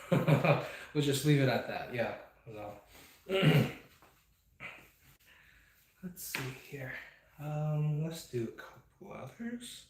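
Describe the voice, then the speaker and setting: A man hosting his own speedbag channel, chatting casually and reflectively with plenty of fillers while he trains. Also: on the high side. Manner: reflective, meandering, many fillers. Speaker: speedbag performer and channel host. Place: indoor training space with a speedbag platform. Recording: very echoey room, faint background noise.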